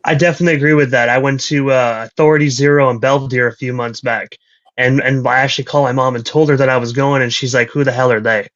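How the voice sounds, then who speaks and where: A man's voice: mid-range voice, fairly even intonation, quick pace, a bit bright. A man in his thirties interviewing casually and effusively for radio, with slangy, sweary talk. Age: 30s. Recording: dull lo-fi sound.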